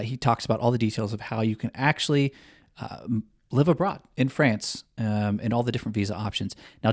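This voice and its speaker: A man's voice: medium pitch, a little mellow, dry recording. Co-host: a man with an eager, stop-start delivery, recorded in a dry, close sound. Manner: eager, with many fillers and restarts. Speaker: podcast co-host. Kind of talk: podcast chat.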